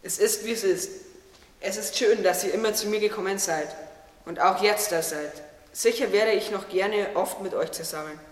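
A high voice sounds a little distant in an echoing hall. It is a talking tree acted by a man, earnest, humble and unsure of its own worth, in a radio play. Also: moderate pace, lightly acted. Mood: solemn, devoted. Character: young earnest humble talking tree, self-doubting yet devoted. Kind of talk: German radio play acting. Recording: echoey hall, distant from mic.

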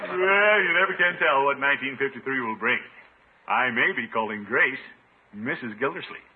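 An actor playing a pompous, excitable middle-aged uncle in a 1950s radio sitcom, blustery and self-satisfied. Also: male voice, mid-range voice, moderate pace, close to an everyday voice. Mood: smug, jolly. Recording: tinny, hissy 1950s radio recording.

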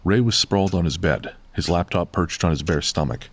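Very deep male horror narrator. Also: normal speed. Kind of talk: scripted horror story narration. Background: faint music.

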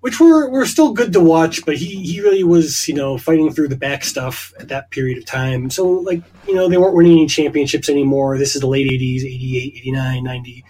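A man's voice: a little high, a little fast, few pauses, warm tone, slightly rough. A man in his early forties from Eastern Connecticut, talking in a reflective, rambling way on a casual podcast. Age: 40s. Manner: reflective, rambling with hedging asides. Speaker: podcast co-host.

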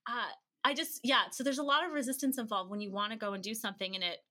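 The chatty guest on a lifestyle podcast, a woman with a bright, forward voice, swapping casual anecdotes. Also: fairly high pitch, steady tone, quick pace. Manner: chatty, laughs easily. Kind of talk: casual anecdotal storytelling in an interview.

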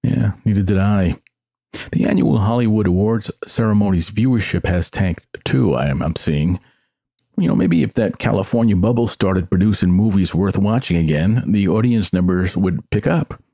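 A man's voice: deep voice, moderate pace, vocal fry, dark tone, husky, no echo, clean studio sound. An older man hosting a solo podcast, giving personal, opinionated commentary in a conversational way. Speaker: podcast host.

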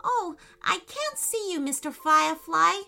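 Excitable earnest little schoolgirl, very high and bright, storybook kid, woman putting her on. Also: unhurried, gravelly, faint music behind, heavily acted. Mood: flustered. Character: eager curious young schoolgirl.

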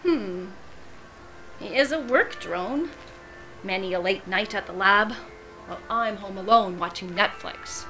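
A middle-aged wife in an audio drama, arch and deliberate, needling her friend with pointed politeness. Her voice is bright and forward, with music underneath. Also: medium pitch, poor audio. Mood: wry, nostalgic.

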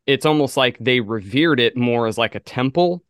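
Man, podcast co-host, smooth clear voice, mid pitch, dry sound, casual explanation. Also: lively intonation, normal speed, fairly bright tone, no echo.

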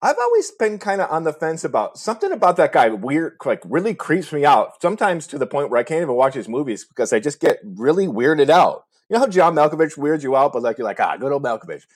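A man's voice: a little high, very animated, fast, few pauses, breathy edge. A man co-hosting a casual podcast, rambling through movie recommendations with lots of swearing and restarts. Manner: rambling, profane, restarts often. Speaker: podcast co-host.

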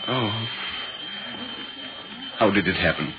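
An actor playing an earnest, concerned gentleman writer in a 1940s radio ghost drama, speaking with measured, deliberate literary phrasing. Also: fairly low pitch, noisy background. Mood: alarmed. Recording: muffled, worn 1940s radio recording.

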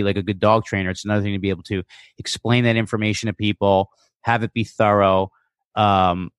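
A man's voice: on the low side, dry recording. A man talking casually as a podcast guest, in a rambling, conversational way that circles back over phrases. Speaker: guest, comedian and dog trainer.

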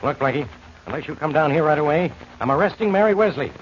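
An actor playing a stubborn, impatient police inspector in an old-time radio detective drama. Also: male voice, fairly high pitch, animated, fast, close to an everyday voice. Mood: stern, impatient. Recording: muffled, noisy old radio recording.

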